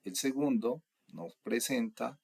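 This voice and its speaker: A young adult man from Colombia, explaining course material in a steady, methodical lecture. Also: medium pitch, slow, creaky, husky. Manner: steady, methodical, lists items one by one. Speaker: instructor.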